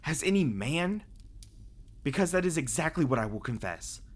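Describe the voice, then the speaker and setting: A man's voice: fairly high pitch, sing-song, moderate pace. A man voicing a genteel, plaintive sentient star who rules over vampires, in an audio roleplay. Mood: anguished, confessing. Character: guilt-ridden, lofty sentient star, reluctant ruler of vampires. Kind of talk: ASMR audio roleplay acting.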